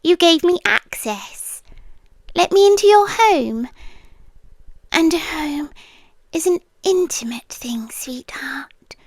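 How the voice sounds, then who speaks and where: A woman's voice: extremely high pitch, expressive, slow pace, long pauses, no echo, full character voice. A British woman playing a possessive, sweetly menacing landlady girlfriend in an intimate ASMR roleplay, coaxing and controlling, often dropping into whispers. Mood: sweetly domineering.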